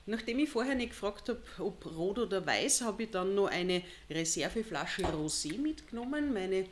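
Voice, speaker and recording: A woman's voice: medium pitch, lively intonation, normal speed, slight background noise. A woman, asking questions as the interviewer in a conversational style.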